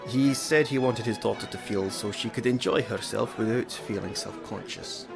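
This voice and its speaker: Guy as low gravelly victorian gent, reserved and dour, bit of music behind. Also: normal speed. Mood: dry, reserved. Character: reserved, dour Victorian gentleman, loyal friend. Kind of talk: theatrical period drama acting.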